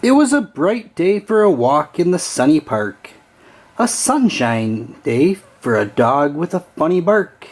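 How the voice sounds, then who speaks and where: A man reading a children's storybook aloud with an expressive, sing-song storytelling delivery. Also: a little high, measured pace. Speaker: storybook reader.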